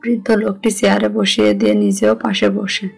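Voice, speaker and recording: A woman's voice: medium pitch, flat delivery, fairly quick, vocal fry, darkish tone, breathy edge. A woman with a Bangladeshi accent reading scripted narration of a romantic story. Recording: music under the voice.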